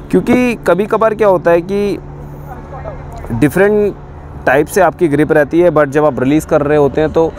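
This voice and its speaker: A man's voice: mid-range voice, darkish tone. A man in his thirties, a cricket coach, explaining bowling grips step by step outdoors on a lapel mic. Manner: explains step by step while showing a ball grip. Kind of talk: spoken coaching tutorial. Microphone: lapel mic on camera facing him.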